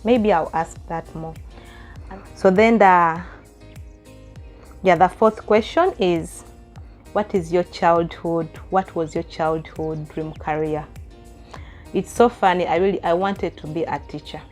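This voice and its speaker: A Ugandan woman in her thirties talks to her viewers in a relaxed Q&A vlog. Her voice sits on the lower side, and she reads each question aloud, then rambles into chatty answers with small laughs. Soft music plays faintly behind her. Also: slow, long pauses. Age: 30s. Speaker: vlogger. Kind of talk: casual Q&A chat to camera.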